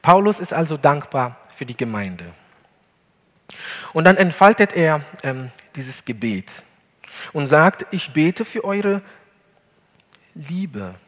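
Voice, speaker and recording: A male pastor, not a native German speaker, preaching an earnest sermon in a church hall with deliberate emphasis. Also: on the high side, big pitch swings, a bit bright. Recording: long hall reverb.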